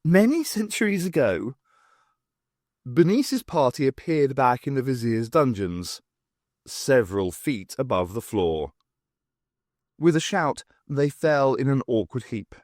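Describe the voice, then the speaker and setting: Animated audiobook narrator, dry sound. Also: medium pitch, unhurried, dry recording. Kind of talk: scripted fiction narration.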